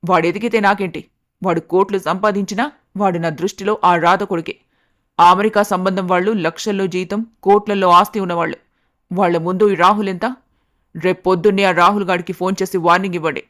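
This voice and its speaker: A woman's voice: fairly low pitch, steady tone, a little fast, fairly bright tone, voice pushed down, lightly acted. A woman voicing a haughty, middle-aged mother in a story, scornful and commanding. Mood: furious, commanding.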